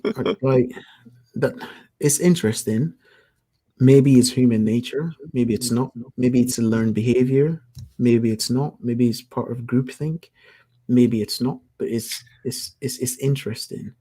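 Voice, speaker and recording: Husky man, curious and jokey. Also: medium pitch, unhurried, no room sound. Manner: animated and curious, jokey asides. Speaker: guest. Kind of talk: casual conversation.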